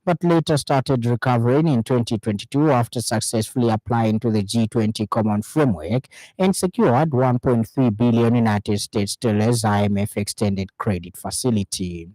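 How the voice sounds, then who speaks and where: A man with a Zambian accent reading a scripted radio news bulletin in a formal, measured manner. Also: medium pitch, dark tone, no echo, low-quality recording. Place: radio studio.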